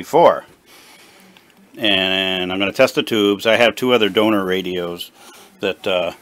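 A man giving a casual, unscripted hands-on repair demonstration in a plain, halting, explanatory way at a workshop bench. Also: lowish voice, fairly bright tone. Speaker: presenter of a radio repair video. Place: small indoor workshop at a workbench.

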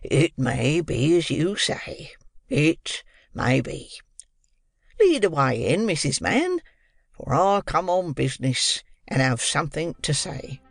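A man doing a pompous, gravelly beadle. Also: sits high, very animated, slow, no echo, studio quality, pitched above the performer's own voice, heavily acted. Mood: mollified. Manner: pompous, lecturing, self-important. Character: pompous, choleric, fat middle-aged male beadle.

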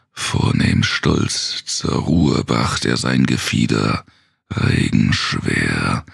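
Male narrator for gothic horror poetry: extremely low, husky voice, brooding and theatrical, slow delivery. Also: dry recording, studio quality. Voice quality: very gravelly, husky. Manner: brooding, theatrical, dropping into whispers.

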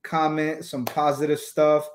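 A young man reads crypto headlines aloud from his desk and breaks off to chat casually with his viewers. His voice sits a little high for a man. It is recorded on a webcam in a small room. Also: level tone, unhurried, a little hiss or hum. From United States. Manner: casual, chatty, addresses viewers as you guys. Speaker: crypto vlogger. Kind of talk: reading news aloud with casual commentary.

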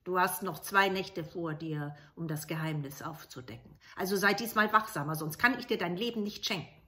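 A stern fairy-tale king, voiced by a woman storyteller, grave and commanding, with a bright, forceful tone. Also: lowish voice, moderate pace. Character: stern old king, father of twelve princesses.

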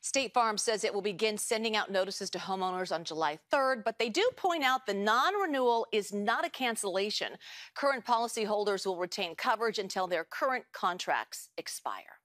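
A woman reading scripted news as a studio anchor with a polished, even delivery. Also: medium pitch, normal speed, no room sound.

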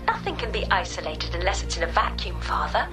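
An actress playing a calm, knowing artificial intelligence in a radio drama, measured and intimate. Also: female voice, fairly low pitch, over music. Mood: assured, insistent.

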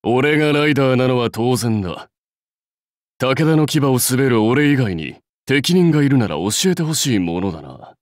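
A man's voice: medium pitch, lively intonation, moderate pace, no room sound, clean studio sound, lightly acted. Man acting as proud, brusque samurai warlord. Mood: confident, dry. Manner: curt, commanding, self-assured. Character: proud, brusque warlord rider devoted to his clan. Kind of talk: Japanese mobile game character lines.

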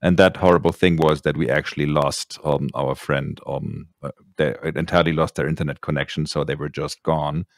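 A very deep-voiced, gravelly man hosts a podcast from his home studio with a boom mic, chatting loosely and laughing often. The sound is close and dry. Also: fairly even intonation, a little fast, few pauses, dry recording. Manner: joking, laughs often, rambling. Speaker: podcast host. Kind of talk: casual chat on a video call.

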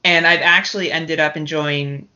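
A woman's voice: low-pitched, fairly even intonation, moderate pace, pushed and bright. A woman from Canada talking casually and chattily as a guest on a film podcast. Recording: dull, lo-fi podcast audio.

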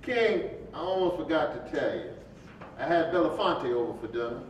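A man's voice: big pitch swings, normal speed. Echoey, folksy, impatient preacher friend , man acting. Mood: jovial. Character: jovial, impatient preacher friend hosting dinner. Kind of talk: staged play reading, conversational dialogue. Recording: dull, echoey, distant hall with rumble.

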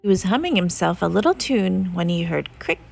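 A woman narrating a children's storybook aloud in a measured, expressive way. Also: fairly low pitch, vocal fry, husky. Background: soft music.

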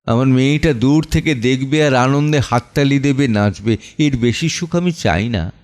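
A man playing an anguished, protective middle-aged father in an audio drama monologue, with halting, emotive delivery full of pleas and pauses. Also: medium pitch, a little mellow, close to an everyday voice. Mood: anguished, pleading. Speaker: voice actor and reciter. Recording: music under the voice. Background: background music.

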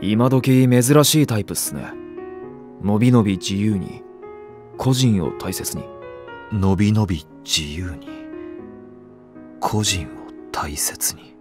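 A man's voice: fairly low pitch, slow pace, long pauses, over music. An actor playing a lazy, blunt young male nursery teacher who talks in a curt, casual way. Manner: curt, casual and a bit sulky. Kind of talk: anime voice drama acting.

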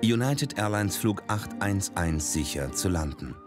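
A deep-voiced man reads documentary narration in a measured, grave manner. It sounds like a studio voice-over. Steady dramatic music plays beneath him.

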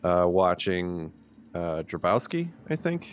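A man with a very low-pitched voice talks casually as a player in a tabletop game. His delivery is conversational and informal. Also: very animated, slow. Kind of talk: casual chat.

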